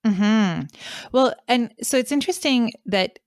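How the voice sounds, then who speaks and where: A woman's voice: fairly high pitch, lively intonation, a little slow, clear, resonant tone. A woman hosting a podcast, interviewing conversationally with tentative, thoughtful phrasing. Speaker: podcast host.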